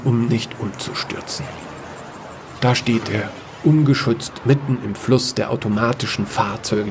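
A man's voice: mid-range voice, background noise. A man reading scripted narration for a science-fiction fairy tale audio story.

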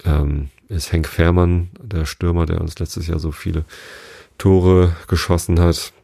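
A hoarse man hosting a sleep podcast, talking casually and meandering with many fillers and restarts. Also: sits low, flat delivery, measured pace, mellow, no echo, studio quality. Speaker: podcast host.

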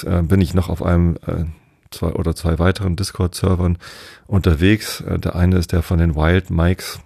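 A man in his forties hosting a bedtime podcast, chatting slowly and rambling with many hesitations. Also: low voice, gravelly, warm tone, no room sound, studio quality. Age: 40s. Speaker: podcast host. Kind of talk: calm bedtime podcast chat.

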